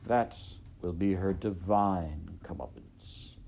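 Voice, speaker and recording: A man voicing a sly, scheming rat in a storybook, suave, condescending and elaborately polite in a hushed, pompous way. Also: low-pitched, takes it slowly, vocal fry, a little hiss or hum, full character voice. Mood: smooth, condescending. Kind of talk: storybook character voice in audiobook narration.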